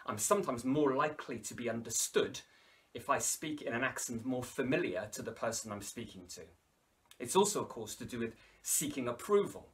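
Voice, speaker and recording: A Welsh man in his thirties with a Welsh accent, teaching a language lesson to camera with lively hand gestures and wry asides, recorded indoors against a plain wall. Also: mid-range voice, brisk. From Wales. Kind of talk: educational video lecture to camera.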